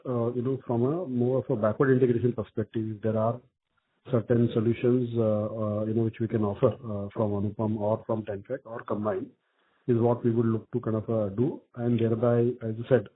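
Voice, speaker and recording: A man with an Indian accent answering as a company executive on an earnings call, speaking haltingly with many repeated filler phrases. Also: medium pitch, slightly rough. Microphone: telephone conference call. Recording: muffled narrow phone line.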